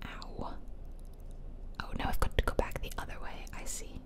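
Whispering woman, close on the mic. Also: normal speed. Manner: whispers throughout, chatty and gentle. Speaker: gaming video host. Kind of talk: ASMR whispered game playthrough. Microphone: close microphone, ear to ear.

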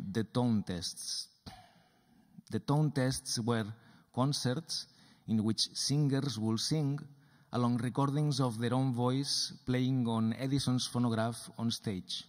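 Brief: man in his thirties lecturing deliberately from a stage into a stand mic, roomy hall reverb. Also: medium pitch. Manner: deliberate, repetitive phrasing. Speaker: lecturer and performer. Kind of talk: lecture performance. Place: dark theatre stage, seated at a table.

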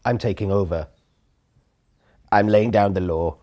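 A combative, bossy monkey character, put on by a man in improvised comedy. The voice is fairly low, and the manner is insistent and taunting. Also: measured pace, dark tone, close to an everyday voice.

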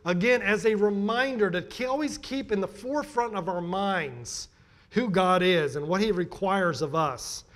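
A middle-aged American man giving a sermon as a pastor, emphatic and deliberate in his delivery. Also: high voice, fairly bright tone. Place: church pulpit, indoors.

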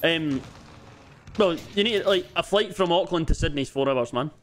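A man's voice: fairly high pitch, moderate pace. A Scottish man chatting casually and bluntly with a teammate over a headset during an online game, swearing now and then. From Scotland. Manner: relaxed, blunt, sprinkled with swearing. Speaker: gaming teammate.

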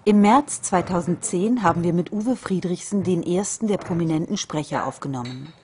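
A woman's voice: lowish voice, moderate pace, darkish tone, a little hiss or hum. A woman reading scripted narration for a behind-the-scenes documentary. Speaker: narrator of a making-of.

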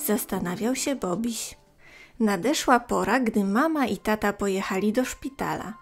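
A woman narrating a scripted story for young children in a gentle, expressive, clearly phrased way. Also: mid-range voice, moderate pace.

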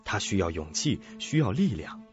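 Deep-voiced male suspense narrator. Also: very animated, moderate pace. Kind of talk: scripted suspense radio drama narration. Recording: dull lo-fi audio with faint music.

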